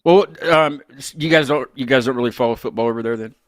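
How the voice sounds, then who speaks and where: A man's voice: mid-range voice, quick pace, dry recording. A man hosting a casual interview show, chatting informally. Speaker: host of a band interview show.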